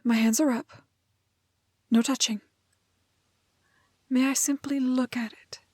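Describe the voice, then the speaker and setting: A woman playing a prim, plucky, kind-hearted young woman in a fairy-tale roleplay, with soft voice and proper, old-fashioned phrasing. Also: high voice, fairly even intonation, slow, dry recording, studio quality. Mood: gentle, careful. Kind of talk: romantic fantasy roleplay audio acting.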